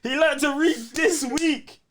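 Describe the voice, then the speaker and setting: A man with a London accent hosting casual podcast banter, slangy, teasing and quick to challenge the others. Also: very high voice, fairly even intonation, background noise. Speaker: podcast host steering the talk.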